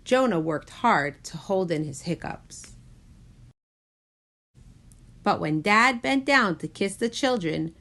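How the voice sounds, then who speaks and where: Slow, animated woman reading a storybook. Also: mid-range voice. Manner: expressive, deliberate storytelling. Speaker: children's story reader. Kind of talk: animated picture-book read-aloud.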